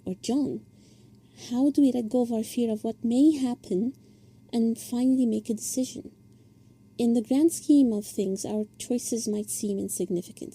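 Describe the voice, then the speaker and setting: A woman's voice: on the high side, dark tone, slightly rough. A woman reading an earnest, reflective monologue she wrote as a listener submission. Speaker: first-time listener submission.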